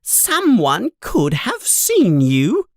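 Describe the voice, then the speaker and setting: Man voicing a shrill scolding mother. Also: wildly swooping pitch, slow, a bit bright, husky, dry recording, studio quality, exaggerated voice. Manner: shrill scolding, sudden sweetness to guests. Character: stern short plump mother, scolding, motherly.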